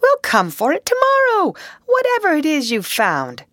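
A woman voicing a cheerful, brisk male water rat who calls out in a hearty, hurried way. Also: high-pitched, very animated, dry recording, pitched above the performer's own voice. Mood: urging.

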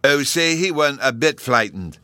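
A man's voice: medium pitch, expressive, normal speed, pushed and bright, dry recording, studio quality, pitched above the performer's own voice. A man voicing an eager, insistent little boy with childish grammar, interrupting a storybook tale in an earnest way. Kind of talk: storybook character voice in audiobook reading.

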